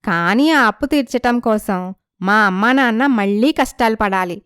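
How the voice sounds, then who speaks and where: A woman playing a proud, assertive young woman from a poor family in an animated moral story. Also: on the high side, moderate pace, smooth, no echo, clean studio sound, lightly acted. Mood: resigned.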